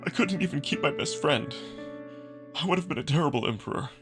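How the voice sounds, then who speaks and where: Man acting as proud wolf prince, visual novel drama, distraught, halting apologies, earnest, backed by music. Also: fairly high pitch, animated, pitched above the performer's own voice, lightly acted. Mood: distraught, self-doubting.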